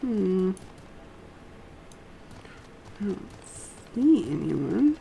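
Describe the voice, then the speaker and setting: A woman streaming a game, commenting casually and haltingly as she thinks aloud and murmurs to herself. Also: medium pitch, big pitch swings, creaky, warm tone, slight background noise, low-quality recording. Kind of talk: casual live gameplay commentary, reading quest dialogue aloud.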